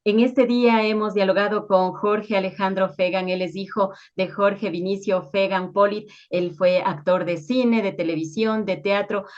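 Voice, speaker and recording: Woman, Ecuadorian accent, mid-pitched voice, radio host, courteous formal interviewing, slightly muffled lo-fi recording. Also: steady tone, moderate pace, hardly any pauses. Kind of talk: interview questions and formal presenting. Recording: slightly dull, lo-fi sound.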